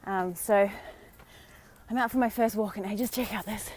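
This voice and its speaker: An Australian woman vlogging live on a walk outdoors, slightly hoarse from a sore throat, talking in a chatty, encouraging way with many fillers. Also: medium pitch, steady tone, moderate pace, darkish tone. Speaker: vlogger and dating coach. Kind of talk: casual live-stream talk.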